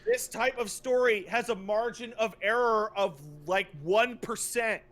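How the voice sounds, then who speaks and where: Man, high pitch, bright forceful tone, emphatic casual rant, exasperated, profane. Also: fairly even intonation, measured pace. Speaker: podcast co-host. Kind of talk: casual animated rant about video game plots.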